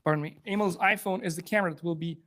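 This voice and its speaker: A man's voice: high-pitched, fairly bright tone. Chatty man from Afghanistan giving a live demo. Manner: talkative, fast-restarting, upbeat and informal. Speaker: software engineer presenting a live demo. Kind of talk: live tech demo talk to a room audience. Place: event room with a live audience. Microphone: handheld or clip microphone.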